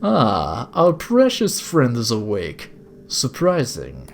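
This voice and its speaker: A man's voice: medium pitch, huge pitch swings, slow, creaky, slight background noise, heavily acted. A man voicing a serene, sinister elderly villain from a video game, with a put-on foreign accent and a formal, preaching, unctuous way of talking. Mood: silky menace.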